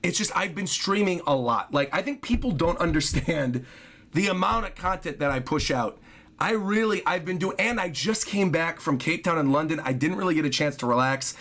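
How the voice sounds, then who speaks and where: A man hosting a casual livestream, reading viewer donations aloud and chatting in a hoarse, scratchy voice. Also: fairly high pitch, animated, normal speed, a bit bright. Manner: chatty, apologetic, thanks viewers often.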